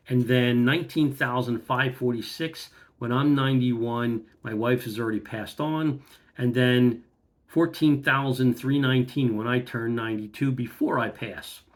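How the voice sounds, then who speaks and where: A middle-aged man speaks to a close webcam in a room at home, in an ordinary mid-range voice. He goes through one number after another in a plain, methodical way, with a few ums and uhs along the way. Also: medium pitch. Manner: plain, methodical, reads out lots of figures with fillers. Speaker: vlogger. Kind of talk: casual talk to camera.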